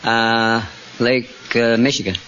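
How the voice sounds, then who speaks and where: A man's voice: medium pitch, little pitch movement, takes it slowly, noisy background. A man as a quiz contestant, giving short, plainspoken, hesitant answers. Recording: muffled old radio recording.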